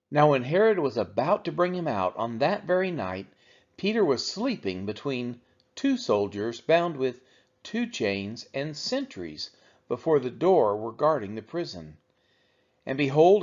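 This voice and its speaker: A man's voice: medium pitch, a little mellow. A man reading the Bible aloud as a narrator for a church read-along. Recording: dull, lo-fi sound.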